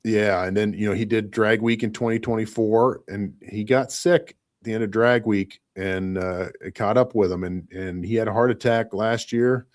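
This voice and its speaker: A man's voice: lowish voice, steady tone, moderate pace, few pauses. A man in his fifties from Michigan, talking in a rambling, reflective way in a casual podcast chat. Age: 50s. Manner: rambling, reflective, often says "you know what I mean".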